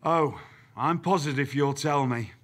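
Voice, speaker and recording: An actor playing a male wrestling general manager who speaks in a dry, deliberate, formal way. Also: medium pitch, a bit bright. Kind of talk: video game cutscene acting.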